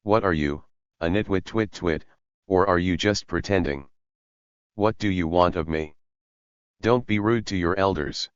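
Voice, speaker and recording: A man's voice: deep voice, unhurried, long pauses, smooth, no room sound, lo-fi sound. A man voicing a cranky old male sparrow who scolds and stutters into chirps. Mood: scolding. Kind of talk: audiobook character voice.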